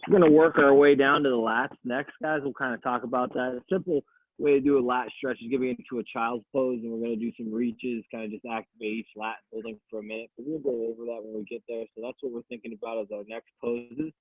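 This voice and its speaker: A man coaching an at-home stretching class, calmly counting down holds and talking in a soothing voice. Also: medium pitch, quick pace, no room sound. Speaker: fitness coach. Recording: tinny, dry phone-like audio.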